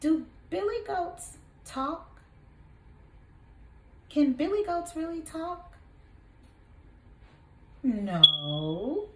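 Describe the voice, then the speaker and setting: A woman teacher reading a story aloud to young children in an expressive, deliberate way, prompting them with questions. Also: medium pitch, slight background noise. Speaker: kindergarten teacher. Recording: echoey room.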